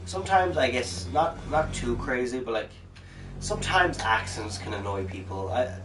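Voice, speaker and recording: A man's voice: medium pitch, animated, normal speed, far from the mic, background noise. A man from Ireland chatting casually while streaming a game, rambling and joking as he plays. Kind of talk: casual gameplay commentary. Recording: roomy, off-mic, game music behind.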